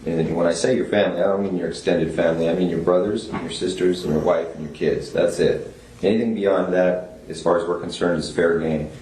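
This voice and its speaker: A male police investigator talking in a measured, persuasive way during an interrogation. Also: low voice, fairly even intonation, darkish tone. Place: small indoor police interview room. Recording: echoey room, clear background noise.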